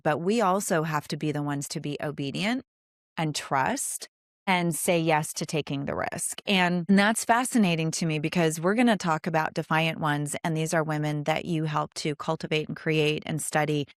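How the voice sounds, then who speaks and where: A woman with a lowish, smooth voice hosts a conversational interview. She is earnest and affirming and lets her sentences run long, in a very dry close recording. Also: no echo. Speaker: interview host. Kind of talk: conversational faith-based interview.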